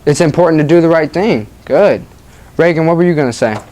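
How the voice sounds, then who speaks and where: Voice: medium pitch, fairly quick, mellow. A teacher reading a story aloud to children and leading discussion with questions and short acknowledgements. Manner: prompting children with questions, acknowledging their answers.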